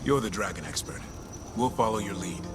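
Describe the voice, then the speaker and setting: A man's voice: lowish voice, expressive, fairly quick, over loud music, natural voice. A man playing a confident, proud warrior with dry humour in a fantasy video game. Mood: cocky, dry. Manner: self-assured, dryly teasing. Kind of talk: video game character acting. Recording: loud ambient noise behind the voice.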